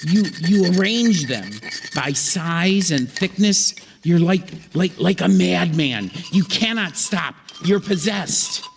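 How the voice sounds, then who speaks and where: A man narrating a scripted comic story on stage in an old-time radio show, deliberately and with dramatic pauses. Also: sits high, expressive, creaky. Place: live theatre stage. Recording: theatre hall reverb, faint noise.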